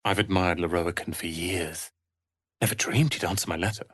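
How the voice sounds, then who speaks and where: An actor playing a proud, bitter young tiefling wizard in a video game, speaking in a haughty, theatrical, sardonic way. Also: male voice, low-pitched, fairly even intonation, normal speed, fairly bright tone, slightly rough. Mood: smug, eager. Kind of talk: video game character acting.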